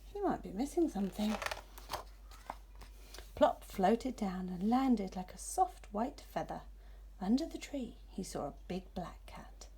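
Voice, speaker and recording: A woman gently and expressively narrating a children's story as its reader. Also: medium pitch, big pitch swings, unhurried, slight background noise.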